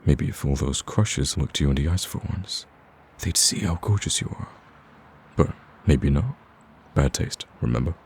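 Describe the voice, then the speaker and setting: A man playing a smitten, cocky villain in a romantic ASMR roleplay, with a husky, very deep voice and a smooth-talking, teasing, pleading manner. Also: lively intonation, a little slow, a little hiss or hum, exaggerated voice. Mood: flirtatious. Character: smitten male villain, cocky, persistent, wooing.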